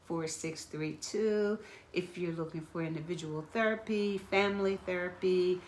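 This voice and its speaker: A woman therapist hosting a live stream, talking gently and reassuringly, slightly congested from asthma. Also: lowish voice, moderate pace, slight background noise. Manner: gentle, reassuring, guides breathing exercises. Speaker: therapist and host of a live talk. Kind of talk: slow, caring live-stream talk. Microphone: phone or webcam live feed.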